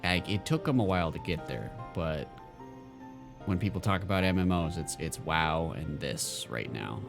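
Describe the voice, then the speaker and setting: A man's voice: low-pitched, lively intonation, normal speed, vocal fry, over music. A man streaming a game, chatting casually and rambling with lots of fillers and restarts. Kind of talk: casual chat while gaming.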